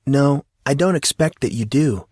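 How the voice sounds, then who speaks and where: A man plays a teenage schoolboy friend in an audiobook, polite and quietly knowing. His delivery is calm, measured and a touch apologetic, lifted above a low adult voice, with a dry sound. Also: breathy edge, dry recording. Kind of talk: acted audiobook dialogue.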